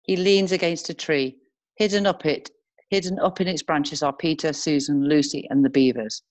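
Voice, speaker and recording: A woman's voice: sits low, fairly even intonation, normal speed, darkish tone. A woman narrator reading scene descriptions as scripted screenplay narration.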